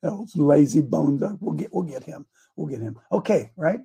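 An older man hosting a morning talk show, with a gravelly, hoarse voice, folksy and emphatic, repeating his phrases as he preaches. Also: fairly high pitch, sing-song, normal speed, mellow. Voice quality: gravelly, raspy voice. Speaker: host.

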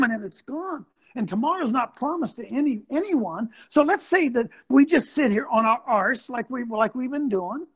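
Animated man in his sixties hosting. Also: very high voice, poor audio. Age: 60s. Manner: animated, preachy, loud exclamations. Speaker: host.

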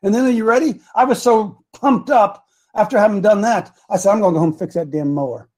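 An American man with a raspy voice hosting a religious talk show, chatting casually in a folksy, animated way and telling rambling personal stories. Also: high voice, fast talker. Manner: folksy, animated, repeats phrases for emphasis.

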